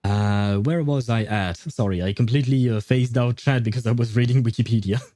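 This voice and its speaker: A man's voice: mid-range voice, fairly quick, mellow, dry recording, studio quality. A young man chatting casually on a game livestream, thinking aloud and laughing often as he reads and reacts. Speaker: gaming livestreamer.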